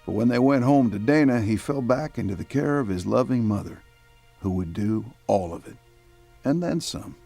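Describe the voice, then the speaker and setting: A man narrating a radio show in a measured, folksy way. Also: medium pitch, expressive, gravelly, dark tone, faint music behind. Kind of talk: scripted narration.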